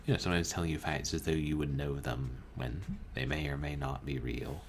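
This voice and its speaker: A man streaming a book reading, chatting casually with his chat in a calm, musing, halting way. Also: rumbling low, slight background noise. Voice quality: calming voice. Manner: musing, soft-spoken, halting. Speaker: streamer reading books aloud.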